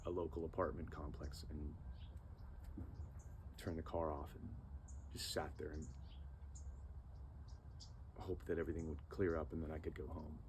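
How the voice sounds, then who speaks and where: Man, low voice, earnest, animated storytelling, light background noise. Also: unhurried, long pauses. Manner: earnest, animated, sound effects in the telling. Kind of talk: personal storytelling monologue.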